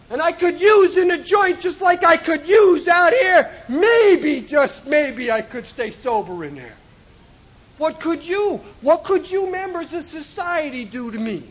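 High-voiced impassioned man on old tape. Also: dull audio. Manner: impassioned, often shouting with emphasis. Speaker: recovery speaker. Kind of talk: personal testimony at a recovery meeting.

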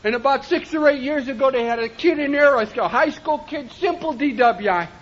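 A man giving an impassioned personal testimony at a recovery meeting, often shouting for emphasis. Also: very high voice, normal speed, highs cut off. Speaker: recovery speaker.

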